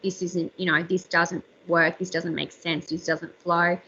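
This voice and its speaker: Woman, thirties, bright slightly squeaky voice, chatty and candid, dull video call sound. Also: little pitch movement, measured pace, slightly rough. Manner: chatty, candid, frequent fillers. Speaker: author and journalist. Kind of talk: casual interview chat. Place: home room.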